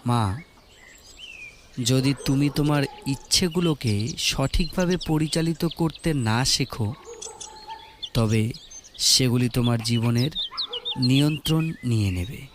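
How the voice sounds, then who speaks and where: A man voicing a calm, wise ascetic monk who gives gentle, fatherly counsel in a slow, measured way. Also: fairly high pitch, a little hiss or hum. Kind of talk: audio story dialogue.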